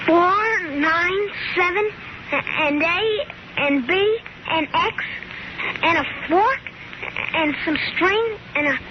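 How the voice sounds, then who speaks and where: A woman playing a curious, dutiful young child who speaks haltingly, in a 1950s radio drama on an old recording. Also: very high-pitched. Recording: noisy muffled old radio broadcast recording.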